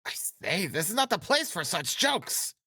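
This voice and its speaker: An alarmed male guard from a storybook, agitated and indignant. He protests emphatically in a voice pushed far up in pitch, recorded dry. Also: big pitch swings, unhurried, sharp tone, breathy edge, dry recording, full character voice. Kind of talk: audiobook character acting.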